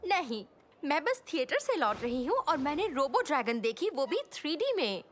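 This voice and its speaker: Very high, lively cartoon girl. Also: normal speed, a little hiss or hum. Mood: excited. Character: cheerful, chatty, supportive young girl. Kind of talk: animated comedy series dub.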